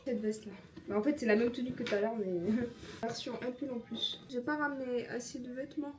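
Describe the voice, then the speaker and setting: A woman's voice: a little high, fairly even intonation, a little fast, background noise. A young woman from France chatting casually in a travel vlog, slangy and laughing often. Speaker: vlogger. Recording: music over the audio.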